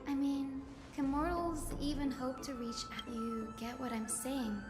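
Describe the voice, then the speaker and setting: A woman playing a knowing, softly teasing young woman in a video game, musing in a conversational way. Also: high voice, steady tone, unhurried. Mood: wistful, teasing. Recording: reverberant, music behind.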